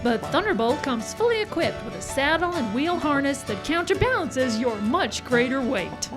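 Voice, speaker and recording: A folksy cowgirl huckster played by a woman in an old-time radio ad, with a high, swooping voice and exaggerated western patter. She pushes her wares eagerly over music at a live outdoor show. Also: very animated, normal speed. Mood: hearty sales pitch. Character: folksy, eager cowgirl pitchwoman for a dude ranch. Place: outdoor park stage.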